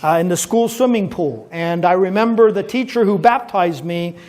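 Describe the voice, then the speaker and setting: A man in his fifties with a noticeably high-pitched voice speaks as a pastor to a room of teenagers. He was raised in Taiwan and talks in an easygoing, storytelling way. He drops in small jokes and often pauses on "uh" as he gathers his words. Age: 50s. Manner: relaxed storytelling with gentle jokes and frequent "uh" pauses. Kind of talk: informal talk to a youth audience at a church event.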